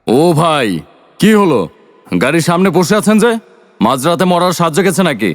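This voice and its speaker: An actor in an audio drama playing a tired doctor, wary and easily irritated. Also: male voice, on the high side, animated, moderate pace, dry recording, clean studio sound, lightly acted. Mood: puzzled, wary.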